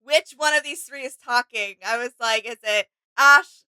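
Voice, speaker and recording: A woman's voice: on the high side, moderate pace, pushed and bright, clean tone. A woman co-hosting a reaction video, giving casual commentary in a chatty style.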